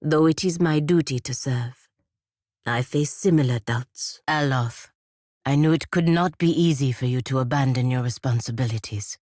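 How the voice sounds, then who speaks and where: An actress playing a proud, duty-bound godlike knight in a video game, speaking formally and gravely. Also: female voice, extremely low pitch, moderate pace, a little mellow, dry recording, studio quality. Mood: earnest, reflective.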